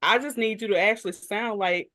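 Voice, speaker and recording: Deep-voiced woman telling blunt stories. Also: a little fast, fairly bright tone, no room sound. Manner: blunt, profane storytelling. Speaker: podcast co-host. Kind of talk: casual group podcast chat.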